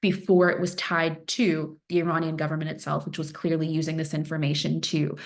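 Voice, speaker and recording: A woman's voice: deep voice, level tone, brisk. A woman giving an earnest presentation as an analyst, stressing points by repeating phrases, with fillers like "um" and "you know". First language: Farsi speaker. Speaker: threat intelligence analyst presenting. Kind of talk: webinar presentation.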